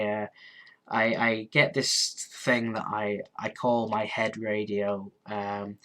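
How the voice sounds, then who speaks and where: A man, chatting casually on a live stream and answering comments. His nose is blocked and sniffly, and he rambles, pausing on ums and restarting his sentences. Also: lowish voice, fairly bright tone. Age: 20s. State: congested, sniffly.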